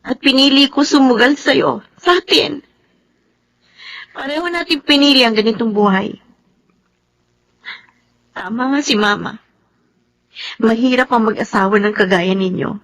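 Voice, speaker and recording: A woman's voice: fairly high pitch, a little slow, pauses often, fairly bright tone. A woman acting in a Filipino radio drama as an anxious, emotional young soldier's wife and mother, with a Filipino accent. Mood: earnest, resigned. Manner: tearful, pleading, scolding.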